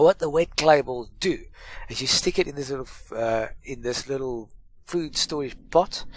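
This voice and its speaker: A middle-aged man with a stuffy cold, hosting a casual gameplay tutorial and chatting through it with self-mocking asides. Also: a little high. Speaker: gaming channel host.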